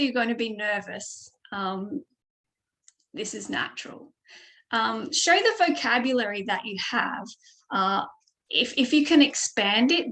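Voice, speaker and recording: A woman's voice: fairly high pitch, fairly bright tone. An Australian woman giving a webinar lesson as a speaking tutor over a video call mic, explaining steadily with frequent ums. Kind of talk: online webinar presentation.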